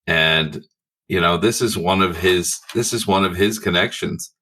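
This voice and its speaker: A man with a deep but forceful, bright voice talks in character at a tabletop game. His delivery is improvised conversation. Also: measured pace, a little hiss or hum.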